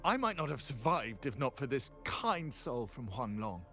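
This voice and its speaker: An actor playing a devout, courteous young knight in a video game, earnest, dutiful and formal in speech. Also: male voice, mid-range voice, big pitch swings, moderate pace, pushed and bright. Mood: concerned, courteous. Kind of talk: dramatic video game voice acting. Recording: faint music behind the voice.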